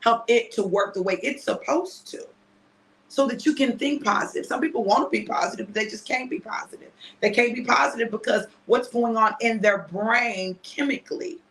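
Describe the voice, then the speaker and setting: A woman in her fifties, chatty and repetitive, mixing a prophetic word with a casual sales pitch and often asking "right?". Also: normal speed, fairly bright tone, rough recording. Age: 50s. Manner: chatty, repeats phrases, asks "right?". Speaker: preacher selling a product.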